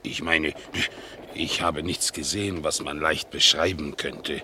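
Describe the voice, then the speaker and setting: An actor playing a naive, good-natured pet dog in a German radio play, excitable and full of wide-eyed wonder. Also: male voice, rumbling low, measured pace, a little hiss or hum, heavily acted.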